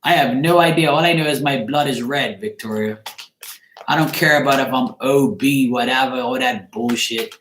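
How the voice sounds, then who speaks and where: A man's voice: mid-range voice, normal speed, a bit bright. Echoey man chatting casually. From Bermuda. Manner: informal, blunt, joking. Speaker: livestream tarot reader. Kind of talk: casual livestream chat with viewers. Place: living room, indoors. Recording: echoey room with faint noise, clicks.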